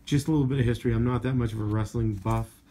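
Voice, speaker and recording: A man of around forty, hoarse from losing his voice, chatting casually through a show-and-tell review with lots of "um"s. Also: medium pitch, fairly even intonation, very fast, dark tone, a little hiss or hum. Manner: rambling, filler-heavy, enthusiastic about covers. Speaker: comic book collector vlogger.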